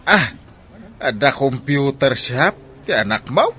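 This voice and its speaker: A man's voice: mid-range voice, very animated, slow, bright, forceful tone, slight background noise, exaggerated voice. A man playing a jovial, teasing young fellow with a Filipino accent in a radio drama.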